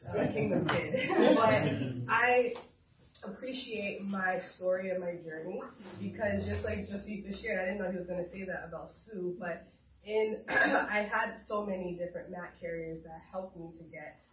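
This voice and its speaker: A woman's voice: mid-range voice, moderate pace, a crowd in the background. A young woman from Boston, a campus minister, giving an informal, conversational testimony at church. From Boston, United States. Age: young adult. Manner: casual, conversational. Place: large room at a church gathering. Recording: roomy, dull sound from distant mic.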